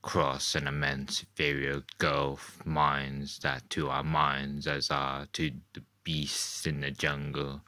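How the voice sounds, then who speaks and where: Man acting a low, grave, ominous storyteller. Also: completely flat delivery, sharp tone, no room sound, exaggerated voice. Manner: slow, solemn, measured delivery. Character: grave, ominous male narrator of an alien invasion tale. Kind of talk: dramatic radio play narration.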